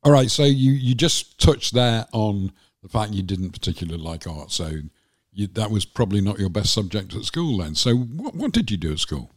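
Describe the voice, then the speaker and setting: A man hosting a casual interview, phrasing his questions and remarks tentatively and haltingly. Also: lowish voice, sing-song. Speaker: podcast interviewer.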